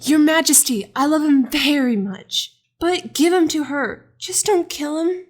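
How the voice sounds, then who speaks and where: A man voicing a desperate young mother who pleads before a king, in a soft, slow falsetto. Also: expressive.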